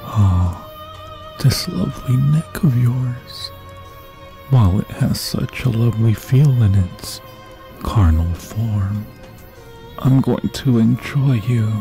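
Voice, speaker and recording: A man voicing an obsessive undead ghost lover in an ASMR fantasy roleplay, theatrical and solemn, menacing yet tenderly possessive. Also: on the low side. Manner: theatrical, slow, archaic solemn phrasing.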